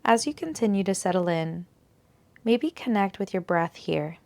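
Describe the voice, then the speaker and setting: A woman teaching a guided yoga nidra meditation in a soothing, unhurried, gentle manner, leaving long pauses between phrases. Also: medium pitch, lively intonation.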